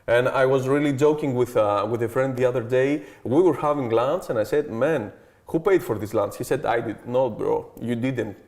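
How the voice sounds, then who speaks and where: A man's voice: medium pitch, moderate pace, darkish tone. A man in his thirties with a Greek accent, a guest in an interview, talking eagerly and confidently in a loose, chatty way. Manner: eager, confident, keeps adding "okay" and "like". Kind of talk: casual interview talk.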